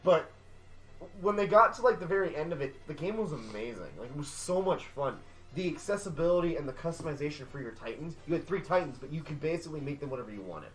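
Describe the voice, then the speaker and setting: A man's voice: a little high, expressive, fast talker, a bit bright. A man co-hosting a casual podcast, talking emphatically and often repeating himself. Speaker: podcast co-host. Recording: distant from the mic.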